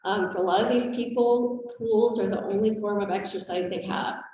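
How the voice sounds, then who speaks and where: A woman in her forties giving a brisk, self-assured board candidacy statement over a video call, with frequent filler words. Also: mid-range voice, dark tone, slight background noise. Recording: muffled, roomy, distant call audio.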